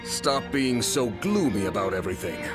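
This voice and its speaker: An actor playing a frank, familiar officer of an ancient kingdom in a game cutscene, with a direct delivery. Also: male voice, on the low side, sing-song, normal speed. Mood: teasing. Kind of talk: video game cutscene acting. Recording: loud orchestral music behind the voice.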